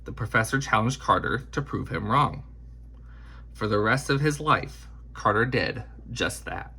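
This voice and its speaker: A man reading a children's biography aloud in an expressive storytelling style, with measured, deliberate phrasing. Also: fairly low pitch, vocal fry, breathy edge.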